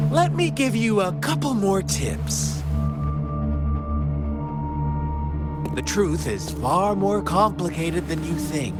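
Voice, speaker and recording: An actor playing a sly, mocking villain who tells tales with theatrical, taunting drama in a video game. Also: male voice, on the high side. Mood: sly, amused.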